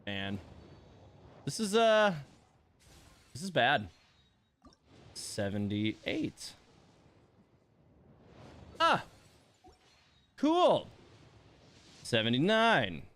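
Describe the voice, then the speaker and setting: A man livestreaming a game in a casual, grumbling way. His pitch jumps around a lot, and he takes his time between remarks. He sounds exasperated, with mild swearing and sighs. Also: huge pitch swings, very slow, pauses often, fairly bright tone. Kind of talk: casual livestream chat and reactions while playing.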